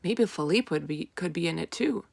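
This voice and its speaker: A woman plays a keen young schoolboy in a children's storybook. The delivery is eager and simple, in a dry, close recording with a little creak in the voice. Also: on the low side, expressive, brisk, darkish tone, dry recording, exaggerated voice.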